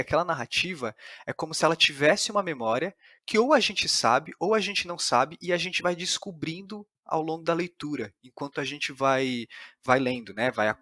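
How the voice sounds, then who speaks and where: A man's voice: on the high side, a bit bright, no echo, studio quality. A man with a Brazilian Portuguese accent interviewing on a podcast, analytical and discursive, often questioning his own phrasing.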